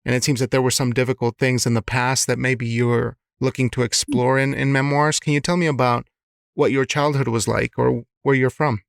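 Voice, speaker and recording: A man hosting an interview podcast, his voice gravelly with strong vocal fry. The sound is close and dry, and he asks searching questions, often restarting words as he goes. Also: medium pitch, steady tone, a little fast, no echo. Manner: thoughtful, restarts words, affirming. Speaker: podcast host.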